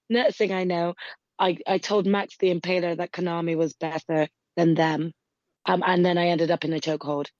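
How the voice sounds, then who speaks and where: A woman co-hosting a wrestling podcast, slightly hoarse from a lost voice, chatting and telling a story. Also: fairly low pitch, a little mellow, no room sound. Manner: chatty storyteller, laughs through her tale. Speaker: podcast co-host. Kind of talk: casual chat. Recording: dull lo-fi mic.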